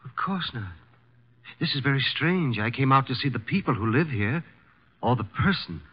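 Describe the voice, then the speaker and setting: An actor playing an uneasy, polite, rather prim stranger in a 1950s radio drama, speaking hesitantly and nervously. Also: male voice, medium pitch, lively intonation, normal speed, slightly rough. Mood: apologetic. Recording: dull vintage radio recording.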